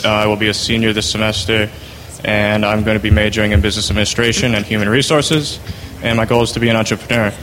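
A man who is a college senior, giving a casual, slightly halting speech at a convention. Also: lowish voice, flat delivery, a bit bright, slight background noise, poor audio.

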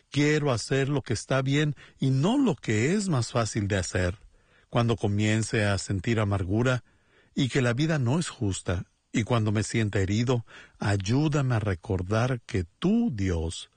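Earnest man leading a slow prayer. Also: mid-range voice, darkish tone, husky, dry recording. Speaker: pastor. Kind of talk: Spanish-dubbed radio prayer and sermon voice-over.

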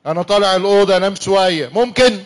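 An easygoing young husband with an Egyptian accent, played by a man in a radio drama who keeps protesting his innocence in exasperation. He talks very fast in a fairly high voice. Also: clear, resonant tone, close to an everyday voice. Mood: exasperated. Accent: Egyptian Arabic. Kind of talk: church radio comedy-drama acting.

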